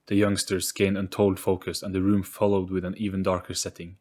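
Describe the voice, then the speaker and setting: A man's voice: sits low, monotone, brisk, darkish tone. A man giving a scripted, dramatic narration of an audio story.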